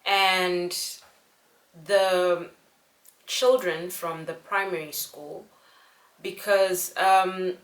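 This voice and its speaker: Slow, unhurried woman from Zimbabwe. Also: fairly low pitch, fairly even intonation, a bit bright. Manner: unhurried, earnest storytelling. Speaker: vlogger. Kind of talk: casual storytelling to camera. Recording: echoey room.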